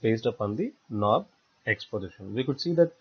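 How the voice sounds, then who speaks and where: Dull-sounding man teaching software. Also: on the low side, expressive, moderate pace, breathy edge. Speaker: software tutorial instructor. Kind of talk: step-by-step screencast tutorial narration. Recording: dull lo-fi voice-over recording.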